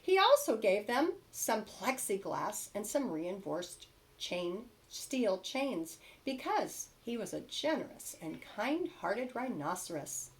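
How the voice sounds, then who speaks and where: A woman reading a children's storybook aloud expressively, with emphatic stresses and dramatic pauses. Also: mid-range voice, very animated. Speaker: storybook reader.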